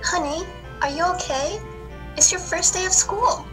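A woman's voice: very high voice, moderate pace, music in the background. A woman playing a caring grown-up cookie lady in a children's radio play. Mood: concerned.

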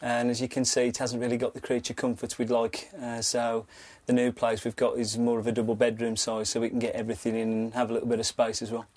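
A man's voice: mid-range voice, monotone, fast talker, a little mellow. A middle-aged man, a teacher, answering plainly and a little hesitantly in a cramped home office. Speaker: teacher moving house.

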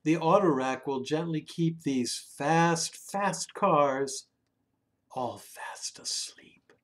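A man reads a children's picture book aloud expressively, drawing out words and hushing the ends of phrases. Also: a little high. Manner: dramatic, with drawn-out words and hushed phrase endings. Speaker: children's story reader. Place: small room indoors at home.

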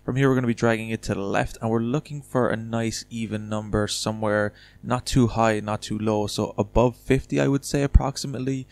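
Male tutorial host, lowish voice, quick informal delivery, chatty step-by-step explaining into a desktop mic. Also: fairly even intonation, creaky, a little mellow. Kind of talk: casual screen-recorded software tutorial.

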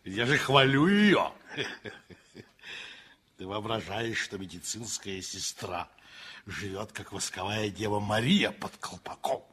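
A man's voice: fairly low pitch, expressive, takes it slowly, long pauses, husky, full character voice. An actor playing a boisterous, joking train driver in his forties in a 1960s radio play. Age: 40s. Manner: loud, boisterous, teasing. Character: hearty forty-year-old train driver, crude joker.

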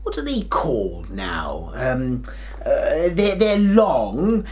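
A kindly, forgetful old king of a grandad, played by a middle-aged man, tells a story for small children. He takes his time, with a lively rise and fall in his expressive voice. His delivery is jolly and theatrical. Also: medium pitch, slow pace. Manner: theatrical, expressive, with hammed-up hesitations and chuckles.

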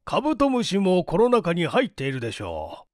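A man voicing a polite, earnest gentleman in a story reading, deliberate and expressive. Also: sits high, dry recording, studio quality. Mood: surprised relief.

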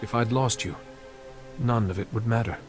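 A man's voice: mid-range voice, moderate pace, over loud music, close to an everyday voice. A man playing a composed, caring Jedi master in a video game cutscene, with a formal, reassuring manner. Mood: tender. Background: loud game score.

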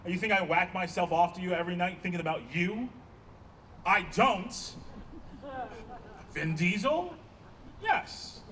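High-pitched, exasperated man acting on stage. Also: unhurried, long pauses, crowd noise. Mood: sardonic. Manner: emphatic, sarcastic, sharp-tongued. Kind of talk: staged play reading. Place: small outdoor stage by a block wall. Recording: distant outdoor stage pickup, faint ambient noise.

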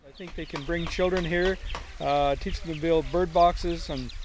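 A man's voice: on the high side, steady tone, moderate pace, background noise. A man giving a hesitant interview comment outdoors, with many fillers.

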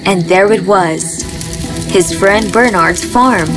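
A woman's voice: medium pitch, slow. A woman giving scripted narration of a fairy tale as the storyteller. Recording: music behind the voice.

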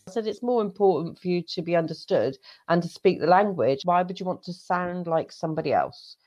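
A middle-aged English woman tutor talks in a relaxed, measured way over a headset. Her voice sits fairly low, with an English accent. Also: muffled recording. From England.

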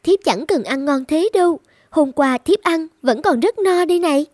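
High-pitched young demon wife. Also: female voice, animated, moderate pace, darkish tone, dry recording, clean studio sound. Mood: demure, coaxing. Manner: demure, coaxing, theatrical. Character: sweet young wife, secretly a gluttonous two-mouthed female demon. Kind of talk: animated folktale acting.

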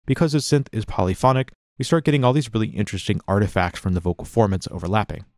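Fast-talking low-voiced male tutorial instructor. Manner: conversational, explanatory. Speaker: music production tutorial instructor. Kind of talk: casual software tutorial narration.

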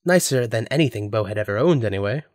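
A man's voice: on the low side, expressive, fast talker, darkish tone, no room sound. Man narrating, dry close recording. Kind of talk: scripted story narration.

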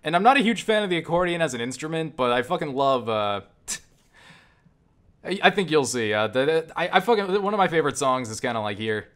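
A man giving casual, profane gameplay commentary, enthusiastic but halting, often restarting his phrases. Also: on the high side, expressive, fairly bright tone. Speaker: gamer and game reviewer. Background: game music and sound.

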